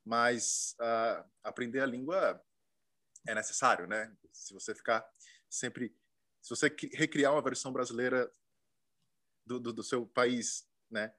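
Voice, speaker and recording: A man's voice: medium pitch, unhurried, sharp tone. A man hosting a casual interview, talking loosely with frequent fillers and prompting the guest. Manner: chatty, many fillers and backchannels. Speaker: interviewer host.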